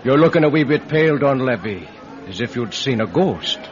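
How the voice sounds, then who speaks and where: An actor playing a stubborn, suspicious Irish villager with a pointed, needling manner in a radio drama. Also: male voice, medium pitch, lively intonation, normal speed, slight background noise, close to an everyday voice. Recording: dull, muffled radio-drama recording.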